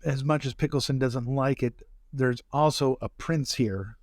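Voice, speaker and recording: Male gamer, medium pitch, casual in-the-moment roleplay talk, very dry sound. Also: measured pace, darkish tone, no room sound. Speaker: player in a tabletop roleplaying game. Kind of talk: casual improvised game talk.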